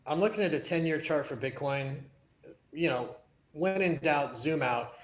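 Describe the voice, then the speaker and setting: A man's voice: a little high, normal speed, echoey room, poor audio. A man on a crypto panel with a dry, joking delivery. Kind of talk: casual livestream chat.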